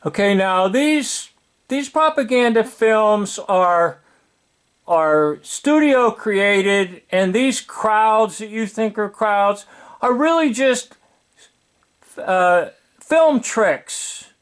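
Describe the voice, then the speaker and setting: An older man with an American accent giving informal, opinionated commentary in a sardonic, deliberate way, full of rhetorical questions. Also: high voice, expressive, fairly bright tone, smooth.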